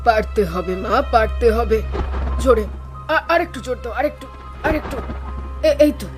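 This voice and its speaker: An excitable, motherly village midwife , acted by a woman, calls out in a high voice. Her phrases are short, urgent and repeated, with music clearly playing behind. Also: measured pace. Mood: urgent, encouraging.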